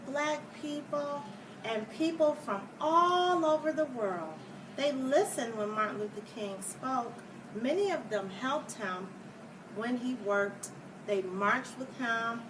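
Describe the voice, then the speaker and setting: A woman reading a children's picture book aloud like a schoolteacher, deliberately, with explanatory asides for young listeners. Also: a little high. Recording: distant mic, clear background noise.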